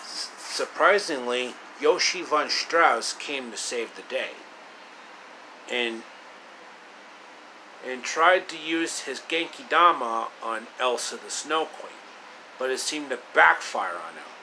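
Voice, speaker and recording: A man retelling a fairy tale in his own loose way, with halting, drawn-out delivery and casual asides. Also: medium pitch, animated, sharp tone, a little hiss or hum, poor audio. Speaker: storyteller.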